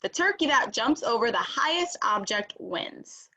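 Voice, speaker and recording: Kobieta jako kurczak wysokim głosem zapowiadający zawody. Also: female voice, on the high side, very animated, unhurried, vocal fry, bright, forceful tone, heavily acted. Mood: announcing. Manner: calling out announcements. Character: chicken race announcer, male, bossy and upbeat.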